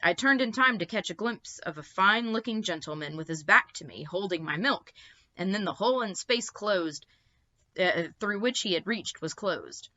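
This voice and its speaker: A woman narrates a children's story with expressive flair. Her voice is bright and very animated, and the recording sounds slightly dull and lo-fi. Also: medium pitch, normal speed.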